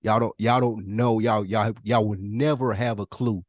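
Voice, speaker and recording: A man's voice: fairly low pitch, fairly even intonation, normal speed, no echo, studio quality. An American man co-hosting a casual podcast, talking earnestly and repeating phrases for emphasis. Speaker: podcast co-host.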